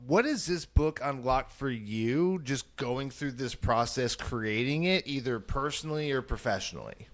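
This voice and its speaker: A man's voice: mid-range voice, animated, moderate pace, hardly any pauses, fairly bright tone. A man hosting a publishing podcast interview, upbeat and enthusiastic as he reacts and asks questions. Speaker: podcast host, publishing CEO.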